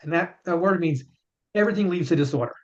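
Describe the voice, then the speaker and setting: A chiropractor in his fifties talks in an earnest, explaining way and often stumbles over repeated words. His voice sits a little high for a man, and the sound is muffled and lo-fi, as if it came through a remote call. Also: fast talker, darkish tone. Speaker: chiropractor and author, guest. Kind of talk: casual interview answers. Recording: dull lo-fi sound.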